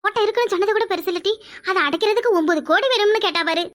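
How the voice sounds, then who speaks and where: Complaining wife, played by a woman, very high and bright, rapid gossipy chatter. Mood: aggrieved. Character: aggrieved village wife gossiping about in-laws. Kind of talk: village family comedy skit.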